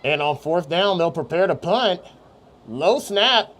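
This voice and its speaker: A man calling play-by-play for a youth football game, steady and rising on big plays. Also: high voice, measured pace. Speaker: play-by-play announcer.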